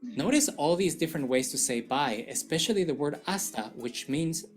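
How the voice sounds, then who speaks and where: A woman's voice: sits low, lively intonation, a little slow. A woman hosting a scripted language-lesson video in a playful, jokey manner. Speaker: video host.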